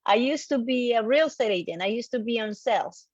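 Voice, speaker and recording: Colombian accent woman doing a motivational online talk, highish voice, animated n emphatic, kinda muffled lo-fi audio. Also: fairly quick. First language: Spanish. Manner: animated, emphatic, repeats key phrases, acts out reactions. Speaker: motivational speaker and coach. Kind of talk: motivational presentation to a live online audience. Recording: dull, lo-fi online audio, slightly roomy.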